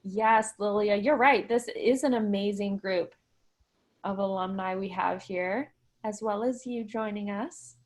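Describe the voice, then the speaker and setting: A woman's voice: mid-range voice, unhurried. A woman moderating an online alumni panel over video call, enthusiastic and encouraging as she introduces guests. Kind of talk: upbeat online panel hosting.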